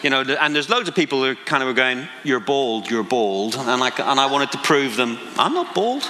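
A man's voice: mid-range voice, big pitch swings, brisk, pushed and bright. A man in his forties with a Northern Irish accent, preaching a conversational sermon that builds to passionate emphasis, with self-deprecating asides. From Northern Ireland. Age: 40s. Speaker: pastor. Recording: long hall reverb.

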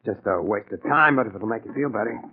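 An actor playing a nervous, scheming husband in a 1940s radio mystery, hesitant and evasive with an undertone of menace. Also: male voice, fairly low pitch, quick pace, breathy edge. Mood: evasive, impatient. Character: nervous, scheming husband hiding a crime, outwardly polite. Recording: tinny band-limited 1940s radio recording.

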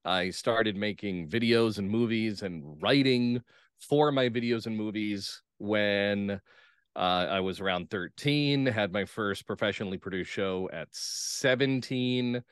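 A man speaking as an interview guest in casual chat, enthusiastic and often checking his points with a quick questioning tag. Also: fairly low pitch, clear, resonant tone, no echo, clean studio sound. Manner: enthusiastic, punctuates points with "right?". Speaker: playwright and actor, podcast guest.

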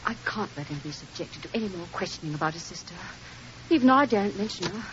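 An actress playing an anxious, protective doctor's wife in a radio drama, well-bred, polite and pleading. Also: female voice, mid-range voice, expressive, fast talker, natural voice. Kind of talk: old-time radio drama acting. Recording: muffled old radio recording.

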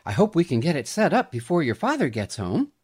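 A man voicing a warm, cheerful young mother in a story, with a kindly sing-song manner. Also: fairly high pitch, huge pitch swings, brisk, breathy edge, voice pushed up, full character voice. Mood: cheerful, gentle.

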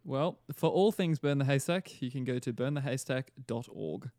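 A man's voice: mid-range voice, moderate pace, darkish tone, no room sound, clean studio sound. Mild Australian man, thoughtful and earnest. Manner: thoughtful and earnest, with many fillers and restarts. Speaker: pastor, podcast guest. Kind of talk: casual podcast chat.

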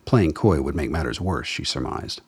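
Guy narrating a radio drama, super low rough husky voice, scripted storytelling. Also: lively intonation, moderate pace, a little mellow. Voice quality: very deep, husky.

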